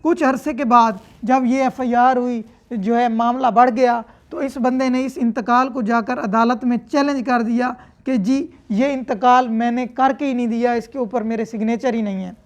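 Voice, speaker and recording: A woman's voice: on the high side, fairly even intonation, normal speed, few pauses. A woman with a Pakistani accent giving a lecture, explaining step by step in a didactic, instructional way. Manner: didactic, step-by-step, checking with tag phrases. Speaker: law lecturer. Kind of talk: explanatory lecture reading statutes in English and explaining them with examples.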